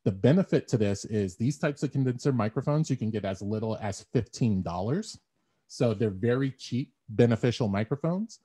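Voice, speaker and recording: A man with a deep baritone voice giving an instructional talk, explaining things step by step and repeating phrases as he thinks. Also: lively intonation, moderate pace, warm tone, breathy edge. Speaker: presenter. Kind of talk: instructional talk on audio gear. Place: small bare room at home. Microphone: desktop condenser mic, then earbud headset. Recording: dull lo-fi earbud audio with echo.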